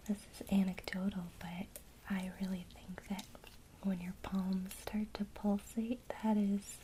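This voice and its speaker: Slow, whispering ASMR woman. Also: medium pitch, background noise. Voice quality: soft, breathy whisper. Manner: gentle, encouraging. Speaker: witchcraft teacher in an ASMR lesson roleplay. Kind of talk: whispered ASMR, guided one-to-one lesson.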